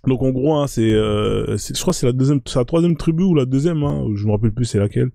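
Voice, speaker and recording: A man's voice: medium pitch, quick pace, creaky, dark tone, dry recording, studio quality. A man hosting a live stream, chatting casually and reading a document aloud, filling his speech with hesitations and tag words. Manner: peppers speech with "hein" and "euh".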